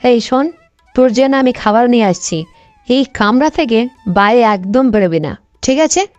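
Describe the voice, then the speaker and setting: A woman's voice: fairly high pitch, expressive, normal speed, a little mellow, no room sound, faint music behind, lightly acted. A woman voicing a proud younger sister and mother in a cartoon morality tale, in a dull, lo-fi recording. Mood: grudging, curt. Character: haughty, dismissive younger sister and mother, later humbled.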